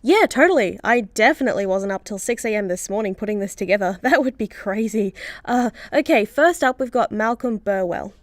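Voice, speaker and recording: An actress playing an eager, brainy superhero teammate, earnest and practical, in a comedy radio play. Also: female voice, mid-range voice, animated, fairly quick, no echo, studio quality, close to an everyday voice. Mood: keen, awkward.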